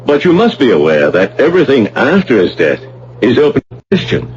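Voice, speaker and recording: A man's voice: mid-range voice, wildly swooping pitch, brisk, a little mellow. An actor playing a pompous, authoritative male archaeology professor in an old-fashioned radio drama, formal and declamatory. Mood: measured, scholarly. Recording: dull, hissy old radio broadcast with hum.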